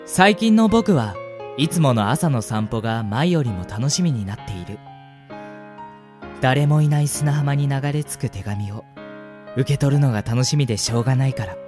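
A man's voice: mid-range voice, darkish tone, lightly acted. A man acting a polite, shy and smitten young college student in a radio drama, speaking and narrating earnestly. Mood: wistful narration.

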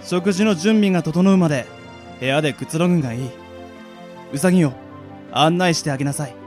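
A man's voice: on the high side, fairly quick, a little mellow, faint music behind, lightly acted. A man in an amateur radio drama playing a benevolent king with grand, archaic royal speech. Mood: gracious. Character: stately, benevolent fantasy king.

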